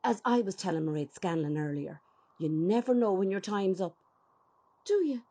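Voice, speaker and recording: An actress playing a nosy, gossipy older Irish neighbour in a radio play, with a husky voice and a chatty, probing manner. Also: female voice, mid-range voice, expressive, normal speed, mellow, natural voice. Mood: chatty, fussing.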